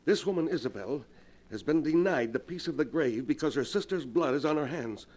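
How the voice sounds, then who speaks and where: A serious determined doctor, kinda high voice, dramatic old time radio recording. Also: male voice, animated, darkish tone. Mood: grave, urgent. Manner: earnest, theatrical, deliberate. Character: grave, resolute male doctor plotting against evil spirit. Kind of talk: 1940s melodramatic radio acting. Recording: vintage 1940s radio recording.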